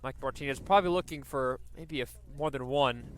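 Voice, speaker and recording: A man's voice: mid-range voice, a little fast, clean tone. A man doing live radio baseball play-by-play, describing the action and working in stats as he goes. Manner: descriptive, stat-laden delivery.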